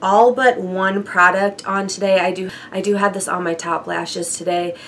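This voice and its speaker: A young woman with a froggy, hoarse voice from a chest cold, chatting casually through a beauty product update with light laughs and fillers. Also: mid-range voice, steady tone, moderate pace, slight background noise. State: hoarse from bronchitis. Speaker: beauty vlogger.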